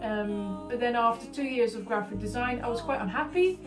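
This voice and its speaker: A woman's voice: fairly high pitch, moderate pace. A woman of about thirty with a Dutch accent, talking informally and a little hesitantly about her own life and work as an artist. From Netherlands. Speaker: artist being profiled. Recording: roomy echo, distant mic, music behind.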